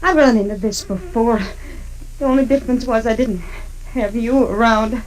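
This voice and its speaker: Actress as gentle, wistful frontier woman. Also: fairly high pitch, moderate pace, lightly acted. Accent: American. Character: warm, forgiving frontier woman, wistful and proud. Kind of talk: 1950s radio western acting. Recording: noisy old radio recording.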